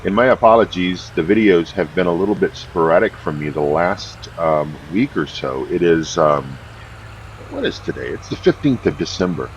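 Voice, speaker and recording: A man hosting a farming game, talking casually in a deep morning voice, easygoing and rambling with many fillers. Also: animated, moderate pace, dull audio, a little hiss or hum. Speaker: game host. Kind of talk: casual gameplay commentary.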